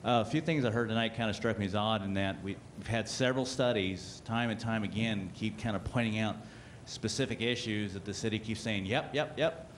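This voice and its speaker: A man's voice: lowish voice, a little fast, few pauses, slight background noise. A man making a blunt, frustrated public comment at a community meeting.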